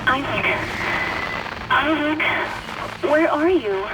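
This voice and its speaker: A woman playing a pleading, haunting young woman calling out slowly in a sci-fi horror game. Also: sits high, expressive. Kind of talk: video game voice acting. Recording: very noisy crackling transmission.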